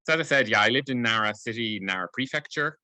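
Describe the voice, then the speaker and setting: A man's voice: mid-range voice, animated, quick pace, bright, forceful tone, dry recording. A man in his late forties from Cork with a light Irish accent, giving a webinar talk with many ums and restarts. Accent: light Irish, not strongly regional. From Ireland (Cork). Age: late 40s. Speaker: embassy staff speaker. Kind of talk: webinar presentation.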